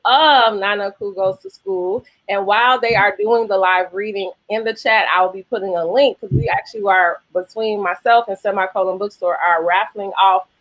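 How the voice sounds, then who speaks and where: A woman hosting a live online book event in a casual, effusive and excited manner. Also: mid-range voice, moderate pace, few pauses, muffled recording.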